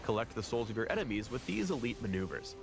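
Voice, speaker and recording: A man narrates a scripted game guide with animated, swinging intonation. Music plays clearly behind his mid-pitched voice. His delivery is polished and instructional, with a light quip here and there. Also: fast. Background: background music.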